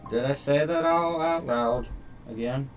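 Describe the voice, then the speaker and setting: A man's voice: medium pitch, a little slow, far from the mic, loud music behind the voice. A man reading a visual novel's narration aloud on a stream, in a dramatic storytelling style.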